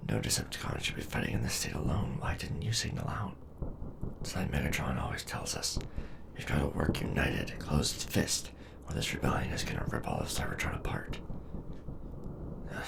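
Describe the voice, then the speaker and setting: A man performing a war-weary robot soldier for an ASMR roleplay drama, gruff and loyal. The voice is rock-bottom low and heavily creaky, with big swings in intonation, over loud background ambience. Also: wildly swooping pitch, normal speed, heavy background noise, full character voice. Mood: weary, brooding. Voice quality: very deep, gravelly.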